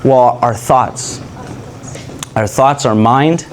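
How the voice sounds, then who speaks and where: Guy coach chatting thru a workshop, easygoing, kinda rambly, mid pitch. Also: drawn-out words, slight background noise. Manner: relaxed, rambling, self-correcting. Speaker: communication coach. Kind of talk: live workshop talk.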